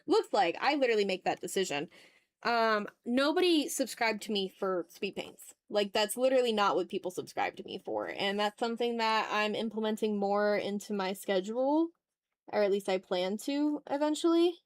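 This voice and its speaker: Woman doing a chill livestream chat, expressive, mid pitch, really dry close mic. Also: moderate pace, no echo. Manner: informal, expressive, talks directly to viewers. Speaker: art livestream host. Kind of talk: casual livestream chat, reading and answering viewer messages.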